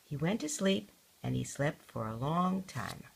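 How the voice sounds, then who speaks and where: An older woman reading a children's story aloud as a grandmother would, with deliberate, dramatic emphasis. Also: sits low, sing-song, gravelly, slight background noise, poor audio.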